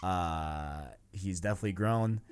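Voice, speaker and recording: A man's voice: low voice, unhurried, clean tone. A man hosting a casual video game discussion, enthusiastic and slangy, often repeating himself for emphasis. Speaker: podcast host. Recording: music playing behind the voice.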